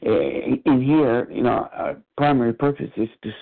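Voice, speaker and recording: A man, sharing at a phone support meeting in a halting, exclamatory way. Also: mid-range voice, creaky. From Cuba. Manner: halting, animated, exclaims often. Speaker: meeting participant. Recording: phone line.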